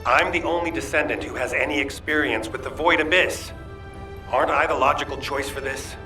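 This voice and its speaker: A man's voice: fairly high pitch, fairly quick, bright, forceful tone, roomy sound, recorded from a distance, soft background music. A man voicing a driven, insistent fighter in a video game, challenging authority with indignant force. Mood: indignant, pleading.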